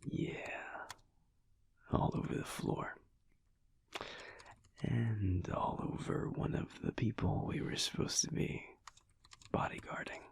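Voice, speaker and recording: A man playing a tired, affectionate werewolf husband in an intimate audio roleplay, murmuring softly and haltingly in a breathy, husky near-whisper. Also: fairly low pitch, fairly even intonation, full character voice. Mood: wry, tired. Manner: halting, soft, murmured with hums.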